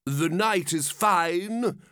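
A man voicing a pompous, sly walrus from storybook verse, speaking in a slow, grandly theatrical way with false sympathy. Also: sits high, fairly bright tone, clean tone, no room sound, studio quality, voice pushed up, exaggerated voice. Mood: smooth, evasive.

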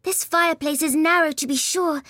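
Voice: high voice, fairly even intonation, normal speed, pushed and bright, dry recording, clean studio sound, pitched above the performer's own voice. A narrator voicing a curious, spirited young girl in a storybook, musing primly to herself. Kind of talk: storybook character voice.

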